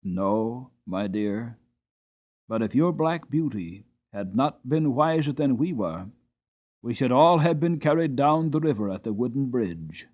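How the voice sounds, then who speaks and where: A man voicing a kindly, earnest gentleman horse owner in a storybook. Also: medium pitch, lively intonation, a little slow, phone-quality sound. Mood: earnest, relieved.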